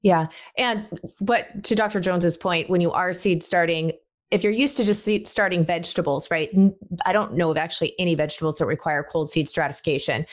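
A woman's voice: on the low side, a little fast. Woman podcast host in a headset. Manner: friendly, conversational, guiding questions. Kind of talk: casual interview hosting. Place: indoor home room.

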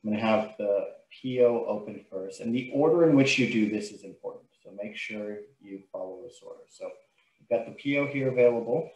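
A man with a fairly deep voice gives a software tutorial. He explains each step in an informal, instructional way. The sound is roomy, with a clear echo around his voice. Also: a little fast. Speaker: software trainer.

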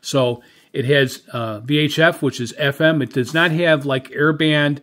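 A man's voice: fairly high pitch, fairly even intonation, a little slow, fairly bright tone. A middle-aged or older man giving a casual product review as a hobbyist, in a hesitant, rambling, conversational way. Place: indoor room with radio equipment.

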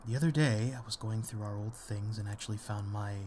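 A man playing a gentle, self-deprecating father in an ASMR roleplay, speaking softly and hesitantly to comfort his grown daughter. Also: on the low side, fairly quick, slight background noise, natural voice. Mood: wistful, tender. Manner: hesitant, soft, soothing, with small chuckles. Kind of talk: intimate ASMR audio roleplay.

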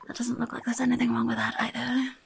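A rude, chaotic goblin nurse, acted by a young woman, muttering in an ASMR roleplay. The voice is soft, whispery and very creaky, slightly high, and grudgingly repeats itself. It is recorded up close with clearly audible background noise. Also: flat delivery, fast. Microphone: close microphone.

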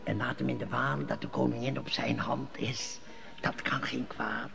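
A man's voice: medium pitch, steady tone, moderate pace, creaky. An actor playing a scheming male court elder who speaks in sly riddles, in an old radio play. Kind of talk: 1950s Dutch radio drama acting.

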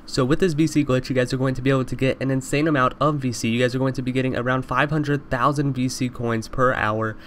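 A young man with a stuffy, congested voice rattles through a gaming voice-over at a rapid clip, calling his viewers "you guys" again and again. His pitch sits in the middle, and there is a faint hiss of noise behind him. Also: steady tone, a bit bright. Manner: repetitive, addresses viewers constantly as "you guys". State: congested from allergies. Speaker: gaming YouTuber. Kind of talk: fast voice-over over gameplay.

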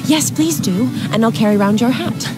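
An actress plays a capricious young woman in a radio drama. Her voice is fairly high, playful and coquettish, forever teasing. Music plays behind her. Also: a little fast, natural voice.

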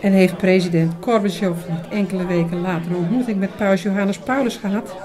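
A woman playing a sharp-minded, inquisitive companion in a radio drama, questioning and precise. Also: medium pitch, fairly even intonation, fairly quick, natural voice. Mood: sceptical, curious. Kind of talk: Dutch radio drama dialogue. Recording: busy chatter behind the voice. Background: busy restaurant crowd.

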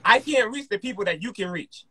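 A man's voice: high-pitched, animated, fairly quick, bright, forceful tone, slightly rough, no echo. A man preaching informally and encouragingly into a close microphone, emphatic and repeating phrases. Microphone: close podcast microphone with headset.

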